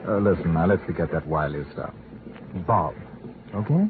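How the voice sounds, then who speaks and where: A man's voice: low voice, normal speed, lightly acted. An actor playing a brash, flirtatious, pushy male crook in a radio suspense drama, talking casually. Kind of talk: 1950s radio drama acting. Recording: noisy, tinny old radio recording.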